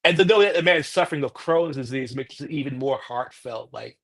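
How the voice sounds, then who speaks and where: A young man chatting casually as a podcast co-host, pressing his points and sometimes trailing off quietly. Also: medium pitch, a little fast. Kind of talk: casual chat.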